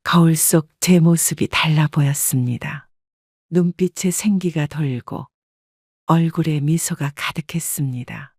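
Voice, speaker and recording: Calm woman narrating a story. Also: deep voice, dry recording, studio quality. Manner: calm, measured. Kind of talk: first-person scripted story narration.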